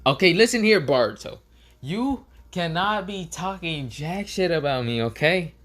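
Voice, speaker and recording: A man's voice: medium pitch, animated, normal speed, sharp tone. A man voicing a flustered, indignant young ninja mentor in a fan story, scolding and incredulous.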